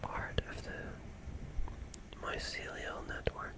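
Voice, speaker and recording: An ASMR streamer telling eerie horror musings in a breathy, unvoiced whisper, drawing out and repeating words. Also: drawn-out words.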